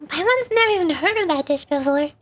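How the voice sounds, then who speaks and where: Small food-loving game fairy, read by a woman streamer, high voice, exclaiming and childish, faint music behind. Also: animated, quick pace, a bit bright. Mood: puzzled. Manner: exclaiming, refers to self by name.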